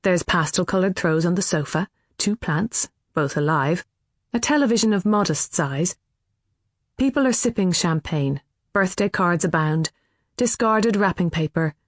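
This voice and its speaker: An Irish woman reading scripted fiction as an audiobook narrator, with an expressive storytelling delivery. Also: lowish voice, no echo, studio quality.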